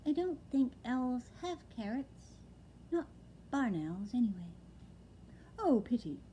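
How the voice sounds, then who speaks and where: A woman reading aloud and voicing an anxious, eager little barn owl boy, earnest and squeaky. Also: very animated, slow pace, dark tone, a little hiss or hum, poor audio.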